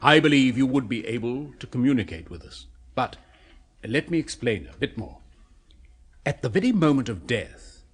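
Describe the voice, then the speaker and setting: A man of science in a 1970s radio chiller, fervent and persuasive. His pitch leaps about with excitement and his voice has a husky edge. He explains macabre things with clinical precision and coaxing zeal. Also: moderate pace. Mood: clinically eager. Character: eager, persuasive male scientist obsessed with a macabre experiment. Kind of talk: 1970s British radio horror play acting.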